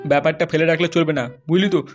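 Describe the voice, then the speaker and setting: Brash cartoon buddy acted by a man, rapid speech, high male pitch, bossy, insistent, loyal. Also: fairly even intonation, soft background music, natural voice. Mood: urgent advising. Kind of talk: comedy cartoon dialogue.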